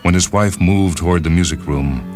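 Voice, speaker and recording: Super deep guy narrating a story on radio, solemn and measured, orchestral music behind.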